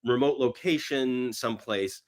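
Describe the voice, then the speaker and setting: A man's voice: mid-range voice, a little slow. A man being interviewed, telling stories casually in a rambling way and acting out imagined bits of dialogue. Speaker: podcast guest, screenwriter.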